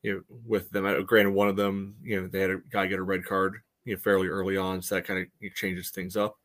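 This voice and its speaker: A man with a fairly low voice speaks as a podcast co-host. He analyses sport casually, in a halting, loosely phrased way with frequent verbal padding. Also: level tone, fairly bright tone. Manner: halting, peppers speech with "you know". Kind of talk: casual soccer analysis chat.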